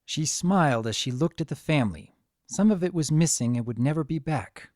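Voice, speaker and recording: A man reading a scripted story as narrator, with a gentle, expressive dramatic delivery. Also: fairly high pitch, fairly quick, no room sound, studio quality.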